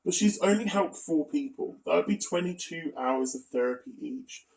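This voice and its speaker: A man's voice: fairly high pitch, expressive, moderate pace, creaky, a little mellow, far from the mic, poor audio. A man narrating a scripted exposé as a video commentator, with asides and a critical, accusatory manner.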